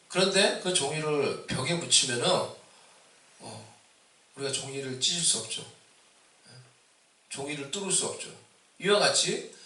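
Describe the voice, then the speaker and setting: Bright-voiced pastor preaching in an echoey hall. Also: male voice. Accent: Seoul Korean with a mix of Busan dialect. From Seoul, Korea. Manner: rises loud and high on exhortations, asks the congregation questions. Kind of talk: Easter sermon. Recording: echoey hall, distant mic.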